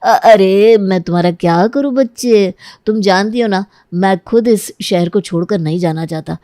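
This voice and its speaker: A woman's voice: medium pitch, moderate pace, dark tone, dry recording, clean studio sound, natural voice. A woman voicing a doting, earnest young fiancé in a cartoon, a coddling mama's boy. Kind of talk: Hindi cartoon story acting.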